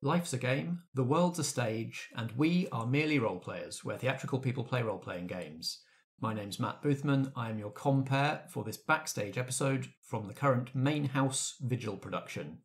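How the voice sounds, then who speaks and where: A man with an average-pitched voice hosts a backstage podcast. He introduces and questions his guests in a conversational presenting style. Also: lively intonation, normal speed. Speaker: host. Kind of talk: hosting a backstage podcast discussion.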